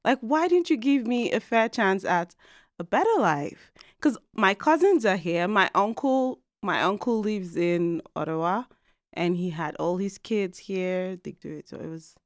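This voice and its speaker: A Nigerian woman in her thirties telling her story in a casual podcast chat, animated and laughing often. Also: mid-range voice, a little slow, dry recording, studio quality. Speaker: podcast co-host and guest. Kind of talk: casual conversational interview.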